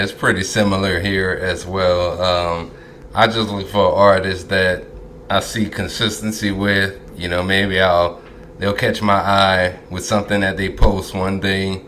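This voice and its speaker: Really deep dude hosting a podcast, pretty monotone, lots of ums. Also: male voice, a little hiss or hum. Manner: easygoing, drawn-out with filler pauses. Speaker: podcast host. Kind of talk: casual interview chat. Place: Dallas.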